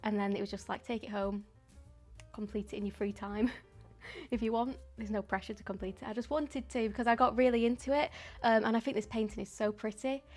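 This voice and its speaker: Woman in her 20s rambling on a paint stream, fairly high voice, soft music behind. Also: fairly even intonation, a little fast. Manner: chatty and rambling, with frequent ums and soft laughs. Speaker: artist live streamer. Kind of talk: casual live stream chat while painting.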